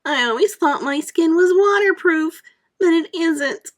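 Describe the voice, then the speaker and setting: A woman's voice: extremely high pitch, lively intonation, unhurried, voice pushed up. A woman doing a whiny gloomy earthworm. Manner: whiny, glum. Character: gloomy complaining male earthworm.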